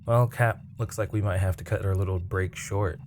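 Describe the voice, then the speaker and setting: A man playing a seasoned, flirty and chatty spaceship mechanic in an intimate ASMR roleplay, teasing and breathy, dropping toward a whisper. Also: low-pitched, steady tone, moderate pace. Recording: clearly noisy ambient background hum.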